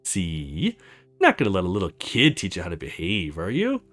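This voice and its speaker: Man voicing Orion, an earnest hero with lively pitch. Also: moderate pace, soft background music, full character voice. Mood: gently teasing. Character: earnest young male hero, Orion, protective.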